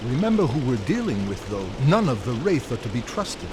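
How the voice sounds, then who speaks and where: An actor playing a wry, fussy gentleman adventurer in a video game cutscene, with theatrical, polite phrasing. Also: medium pitch, big pitch swings, a little fast, natural voice. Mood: wary, conciliatory. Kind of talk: dramatic and comic video game cutscene acting. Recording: clear background noise.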